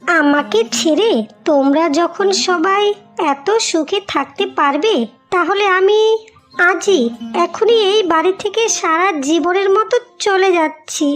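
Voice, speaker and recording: নারীকণ্ঠে খুব চড়া গলার অসহায় গ্রাম্য বউ. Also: female voice, very high voice, measured pace, a bit bright, faint music behind. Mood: sorrowful. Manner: plaintive, pleading. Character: wronged, heartbroken, pleading young village wife. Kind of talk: melodramatic cartoon voice acting.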